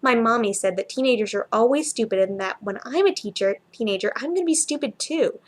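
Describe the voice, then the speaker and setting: A woman's voice: a little high, animated, a little fast. A woman voicing an earnest, chatty four-year-old boy in a story, with simple, childlike run-on sentences. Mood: proud, matter-of-fact.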